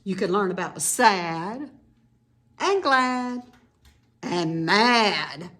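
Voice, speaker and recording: A woman reading a children's picture book aloud in an expressive, teacherly way, with informal explanatory asides to young listeners. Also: medium pitch, extremely slow.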